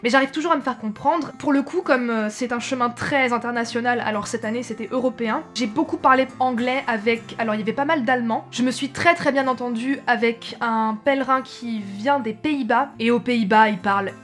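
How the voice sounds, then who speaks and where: Chatty young French woman, slightly high. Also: a little fast, few pauses, faint music behind. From France. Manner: chatty, self-correcting, frequent hesitations. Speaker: travel vlogger. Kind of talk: casual chat to camera.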